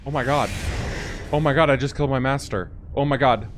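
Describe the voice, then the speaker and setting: A man giving casual reaction commentary as he plays a video game, puzzled and thinking out loud. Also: medium pitch, fairly even intonation, fairly quick, noisy background. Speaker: gamer, channel host. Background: game music and dialogue.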